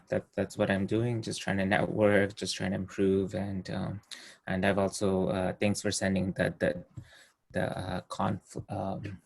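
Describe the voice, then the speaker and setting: A man speaks over a video call, his voice low and husky with a gravelly edge. His delivery is flat and halting, and he fills it with ums and uhs. It is a casual meeting update. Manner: hesitant, many fillers, soft trailing phrases. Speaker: screenwriter sharing project update.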